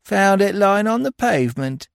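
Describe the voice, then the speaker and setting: A man's voice: high-pitched, animated, moderate pace, vocal fry, clean tone, dry recording, studio quality, voice pushed up. A man voicing a sullen, cunning pickpocket in an audiobook, with uneducated, colloquial, defiant speech. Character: impudent, sullen, cunning male pickpocket, easily startled.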